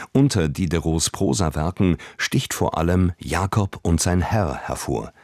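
A man reading a scripted introduction as a measured radio announcer. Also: low-pitched, a little mellow, dry recording, studio quality.

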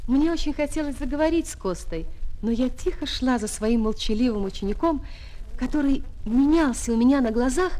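A woman playing a schoolteacher who narrates a children's audio play with expressive storytelling. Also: sits high, brisk, poor audio. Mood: thoughtful. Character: female schoolteacher quietly following and admiring her pupil. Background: music.

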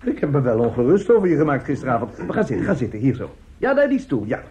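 A man's voice: mid-range voice, sing-song, quick pace, noisy background, natural voice. An actor in a Dutch radio play as an older, fatherly newspaperman, chatty and self-satisfied, repeating his words. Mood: jovial, hearty. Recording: muffled old radio play recording.

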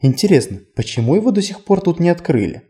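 A man's voice: a little high, big pitch swings, fast talker, dark tone, pitched above the performer's own voice. A man voicing a whimsical, chatty male tour guide in an audiobook, conversational, playful and self-deprecating. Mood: brisk, inviting. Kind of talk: audiobook character dialogue.

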